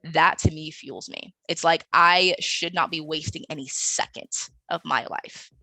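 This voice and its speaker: Creaky, bright-voiced woman, podcast guest. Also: deep voice, steady tone, measured pace, no echo, poor audio. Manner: earnest, conversational, seeking agreement with tags. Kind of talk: casual, personal interview talk.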